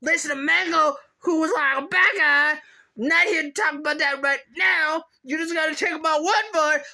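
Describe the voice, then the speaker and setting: A man putting on a squeaky, agitated complainer who rants in exclamations, repeating himself and drawing out words. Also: vocal fry, full character voice. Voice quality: high, bright, squeaky. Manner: exclamatory, repetitive, drawn-out words. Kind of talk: rambling solo rant.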